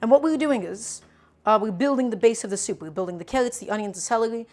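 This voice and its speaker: A woman's voice: a little high, fast, darkish tone. A woman in her twenties hosting a casual cooking livestream, teasing her viewers and explaining each step while she chops. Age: 20s. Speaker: cooking streamer.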